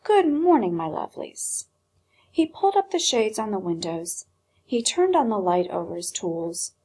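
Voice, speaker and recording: A woman teacher reading a storybook aloud expressively, with dramatic pauses and hushed phrases. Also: mid-range voice, very animated, husky.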